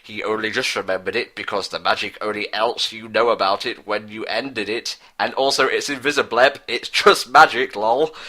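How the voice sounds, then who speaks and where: A man's voice: on the low side, pushed and bright. A man reading a story aloud as narrator, in a mock-dramatic style. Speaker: narrator, fan fiction reader.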